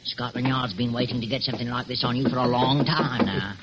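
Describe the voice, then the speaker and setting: Hissy lo-fi radio recording, man acting working-class London sidekick, London accent, nervous, grumbling, colloquial, mid-pitched male. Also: fairly even intonation, a little fast, lightly acted. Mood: uneasy. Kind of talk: old radio drama acting.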